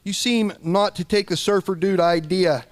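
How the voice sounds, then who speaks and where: A man's voice: high-pitched, fairly even intonation, moderate pace, no echo, studio quality. A man reading a pompous, formal letter aloud.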